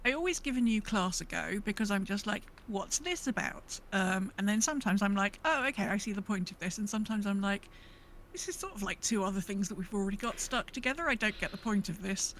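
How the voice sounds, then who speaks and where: A woman's voice: mid-range voice, expressive, a little fast, fairly bright tone, slight background noise, rough recording. A woman chatting casually as a podcast co-host, rambling and thinking aloud. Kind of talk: casual gaming podcast chat.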